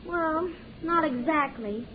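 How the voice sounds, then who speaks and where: A woman's voice: sits high, takes it slowly. An actress playing a blunt, slangy teenage girl from the city block in a 1940s radio drama, heard over a tinny old broadcast recording. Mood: earnest. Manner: street slang, blunt and kind.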